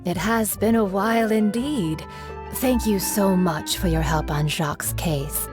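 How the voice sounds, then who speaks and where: Actress as a polite, gentle mother. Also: medium pitch, measured pace, over music, close to an everyday voice. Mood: grateful. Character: polite, grateful widowed mother, gently correcting. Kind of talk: video game voice acting.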